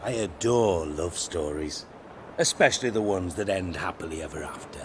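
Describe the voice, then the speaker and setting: A man's voice: sits low, moderate pace, noisy background, natural voice. A man playing a cynical, crude-tongued older spymaster in a video game cutscene, blunt and theatrical in delivery. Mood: mocking.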